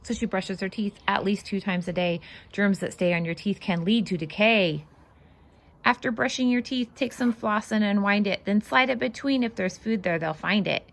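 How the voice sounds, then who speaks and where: A woman reading a children's storybook aloud in an expressive, sing-song way, with casual asides to her young listeners. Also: medium pitch, moderate pace, vocal fry. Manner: animated, sing-song rhyming delivery. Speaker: children's read-aloud host and fitness instructor.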